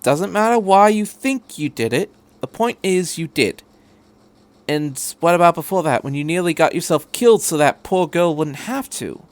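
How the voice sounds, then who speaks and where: A man acts out an eccentric time-travelling man in a fan fiction story. His voice sits fairly high and he speaks with earnest emphasis, reassuring and energetic, repeating words as he drives a point home. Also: moderate pace, no echo. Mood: firm, encouraging.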